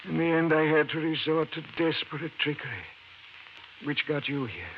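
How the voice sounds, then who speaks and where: An actor playing a frail, dying old professor in an old-time radio drama, speaking weakly, haltingly and with urgency. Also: male voice, a little high, close to an everyday voice. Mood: haunted, bitter. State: weak, failing. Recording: muffled, hissy old radio recording.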